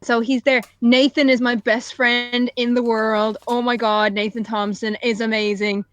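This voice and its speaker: A woman's voice: a little high, level tone, measured pace, a bit bright, clear, resonant tone, no room sound, lo-fi sound. A woman joining a live stream by call, chatting casually in a gossipy, mocking way. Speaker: guest on call. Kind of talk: casual chat.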